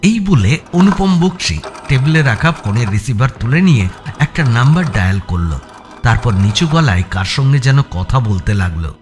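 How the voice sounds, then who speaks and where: A man narrating a suspense audio story in the first person, with expressive, dramatic delivery. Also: medium pitch, normal speed. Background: background music.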